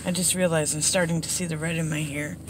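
A middle-aged woman with a hoarse, under-the-weather voice, giving a casual, rambling update to viewers in a low-key, apologetic way. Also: little pitch movement, fast talker. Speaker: slots vlogger. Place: inside a parked car. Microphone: phone at arm's length. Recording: background noise inside a vehicle.

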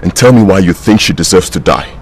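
An actor playing a protective, blunt older man, deep and soothing. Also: lively intonation, fairly quick, slight background noise. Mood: confrontational. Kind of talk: melodrama acting.

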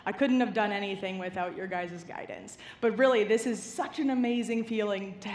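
A woman's voice: medium pitch, moderate pace, darkish tone. A young woman giving gushing, grateful, unscripted remarks from a convention stage. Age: young adult. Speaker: actress. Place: large convention hall stage. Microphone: handheld microphone. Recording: echoey large hall PA.

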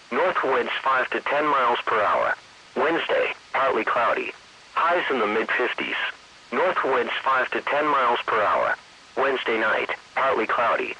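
A synthetic man's voice reading an automated weather forecast in formal, even phrases. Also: medium pitch, slightly rough, poor audio. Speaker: weather radio announcer.

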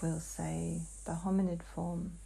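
A woman channeling in a hypnotic session, answering in halting, fragmented phrases with long pauses. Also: on the low side, level tone, vocal fry, warm tone, slightly rough. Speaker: client in a hypnotic healing session. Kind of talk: slow trance channeling, answering questions.

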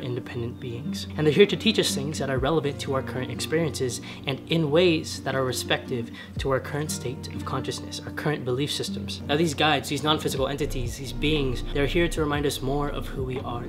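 A young man with a slightly raspy voice, talking earnestly to camera in a casual vlog from his home garage. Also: medium pitch. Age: young adult. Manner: earnest, gesturing with his hands while sitting on the floor. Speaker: vlogger. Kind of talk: casual spiritual vlog talk. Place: home garage, medium-sized, indoors.

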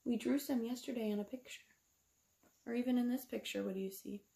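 A woman's voice: fairly high pitch, fairly even intonation, fairly quick, gravelly, mellow, slight background noise. A woman, reading a picture book aloud like a teacher, explaining simply to young children and asking them questions. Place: small room at home, indoors.

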